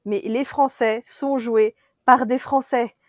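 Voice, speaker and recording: A woman's voice: fairly high pitch, takes it slowly, no room sound, studio quality. Chatty, laughing French podcaster woman. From France. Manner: chatty, laughs often, lively asides. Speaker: podcast co-host. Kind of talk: casual culture chat.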